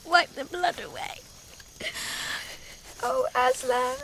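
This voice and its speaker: Very high-voiced, tearful young girl. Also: expressive, gravelly, background noise, exaggerated voice. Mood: anguished grief. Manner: tearful, halting, pleading. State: crying. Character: grief-stricken young girl mourning a slain lion. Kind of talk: audio drama acting.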